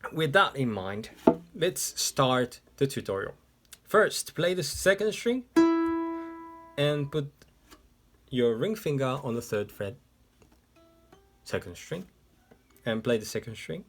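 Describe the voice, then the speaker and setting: A man whose first language is Japanese, teaching a ukulele tutorial in English step by step, seated indoors. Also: mid-range voice. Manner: slow, step-by-step explaining. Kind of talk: music tutorial instruction. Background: ukulele playing.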